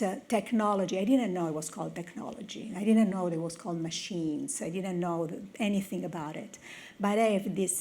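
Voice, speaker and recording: A woman's voice: medium pitch, warm tone. A woman from Italy with an Italian accent, a tech executive giving an earnest conference talk with personal stories. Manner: earnest, storytelling, with restarts. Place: conference hall with audience.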